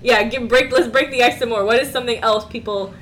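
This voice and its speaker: A woman's voice: on the high side, steady tone, fast, a bit bright, a little hiss or hum, low-quality recording. A woman hosting her own podcast from a home studio, upbeat and chatty with frequent laughs. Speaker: podcast host.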